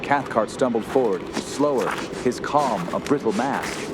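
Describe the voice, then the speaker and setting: A man's voice: medium pitch, lively intonation, a little slow, lightly acted. An actor playing a haunted young man who narrates a horror radio drama in solemn, clipped phrases. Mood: horrified. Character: haunted young nephew recounting wilderness terror. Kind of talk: scripted first-person horror radio narration. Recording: loud dramatic music behind.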